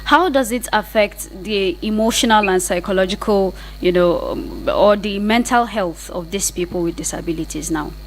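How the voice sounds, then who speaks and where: A woman's voice: mid-range voice, moderate pace, hardly any pauses, slight background noise, poor audio. A woman with a Nigerian accent hosting a radio interview and putting questions to a guest. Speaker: radio host. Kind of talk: interview questioning with frequent acknowledgements. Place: radio studio.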